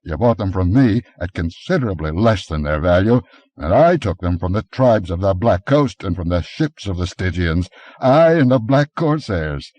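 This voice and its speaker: A man reading an audiobook, voicing a brawny barbarian adventurer who speaks in a gruff, commanding way, a little higher and louder than his narration. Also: lively intonation, normal speed, no room sound. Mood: scornful.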